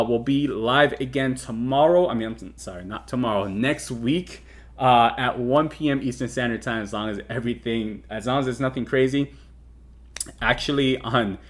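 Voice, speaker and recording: A man in his thirties hosting a casual crypto livestream, talking loosely with lots of fillers and restarts. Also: mid-range voice. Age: 30s. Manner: rambling with many fillers and restarts. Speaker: crypto show host.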